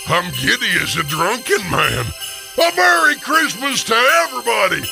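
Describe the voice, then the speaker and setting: An old miser in a radio play, overwrought and exclamatory. The voice sits high and swoops widely, with a creaky edge. Every phrase is pushed with full emotional emphasis. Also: male voice, very animated, unhurried, music in the background, exaggerated voice. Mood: giddy joy. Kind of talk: radio theater acting.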